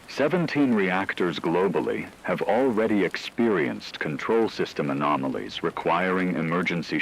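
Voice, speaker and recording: A man playing a grave scientific expert, giving a measured, formal, technical briefing in a scripted radio drama about a disaster. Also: low voice, creaky, slight background noise, exaggerated voice, poor audio. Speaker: radio broadcast expert commentator.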